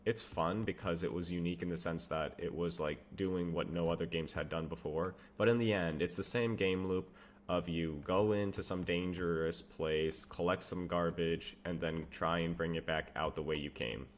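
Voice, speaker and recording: Brief: young man, very deep voice, rambling live-stream chat, faint typing behind. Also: steady tone, normal speed, a little hiss or hum. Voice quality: says he has a loud voice. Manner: rambling, answers chat questions, self-amused. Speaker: game developer streamer. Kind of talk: casual live-stream chat while working.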